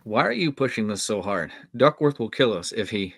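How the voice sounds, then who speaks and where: A man's voice: fairly low pitch, lively intonation, moderate pace, a bit bright, no echo. Man reading as hesitant young camper, very dry sound. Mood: doubtful. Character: hesitant young male camper, skeptical friend. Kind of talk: live table read of a horror script.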